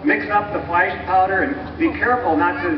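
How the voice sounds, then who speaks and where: A man giving a scripted, explanatory historical narration in a measured, didactic way, like a presenter at a live dramatization. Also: sits high. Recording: muffled, echoey distant recording with clear noise.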